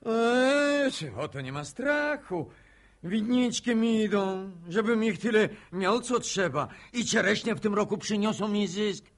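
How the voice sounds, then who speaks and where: Radio drama: glib schemer, boastful, lively intonation, drawn-out delivery. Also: male voice, high voice. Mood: boastful, wheedling. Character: cocky, wheedling village schemer, male, talking his way out.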